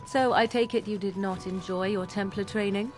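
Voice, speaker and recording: A woman's voice: medium pitch, steady tone, moderate pace, music in the background, natural voice. An actress playing a cool, sardonic witch who makes dry, cutting remarks in a video game.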